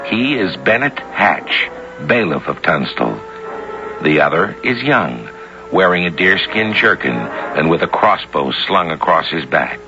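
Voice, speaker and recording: A man narrating as the host of a 1970s radio drama, with measured, dramatic storytelling delivery. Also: deep voice, big pitch swings. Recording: dull vintage radio broadcast with music.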